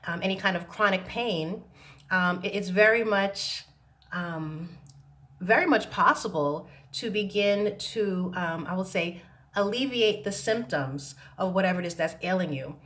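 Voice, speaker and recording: A woman talking to camera about self-healing, hesitant with many pauses and restarts, her voice still a little rough from laryngitis. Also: lowish voice, a bit bright. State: recovering from laryngitis, slight roughness. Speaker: self-help vlogger. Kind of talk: reflective talk to camera. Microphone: camera mic.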